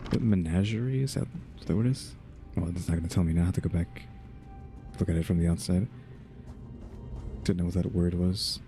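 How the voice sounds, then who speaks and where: A man's voice: low voice, steady tone, brisk, pauses often, mellow. A man giving casual, laid-back video game commentary, musing aloud as he plays. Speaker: gaming channel host. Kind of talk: casual let's-play commentary. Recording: clear game music behind voice.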